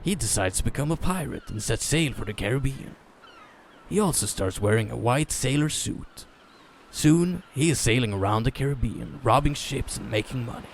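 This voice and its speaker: A man narrating a story as a dramatic reading, theatrical in manner with deliberate pauses. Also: medium pitch, expressive, creaky, slight background noise.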